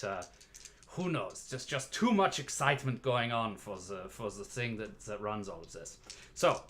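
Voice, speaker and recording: A man's voice: medium pitch, a bit bright. A man hosting a casual livestream as a playful bartender, chatting with theatrical showman patter. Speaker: livestream host mixing cocktails as "dungeon barkeep".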